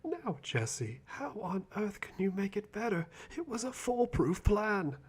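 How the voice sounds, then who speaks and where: A man playing a vain, whiny and childishly enthusiastic villain sidekick in an ASMR roleplay, with a breathy, theatrical delivery. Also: sits high, sing-song, measured pace, full character voice. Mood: indignant, defensive. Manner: flamboyant, dramatic, petulant.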